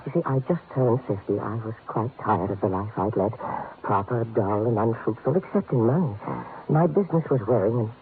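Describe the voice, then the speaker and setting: A man's voice: medium pitch, a little fast, close to an everyday voice. An actor playing an anxious, rueful, mild husband of fifty in an old radio drama, hesitant and apologetic, repeating his words. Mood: nervous, ashamed. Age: 50s. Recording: tinny, noisy old radio broadcast.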